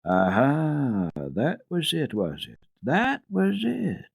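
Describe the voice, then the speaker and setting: A man voicing an astonished, slow-to-catch-on servant in a storybook, exclaiming and muttering to himself. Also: mid-range voice, wildly swooping pitch, gravelly, slightly rough, dry recording, clean studio sound, pitched above the performer's own voice, exaggerated voice. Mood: amazed realisation.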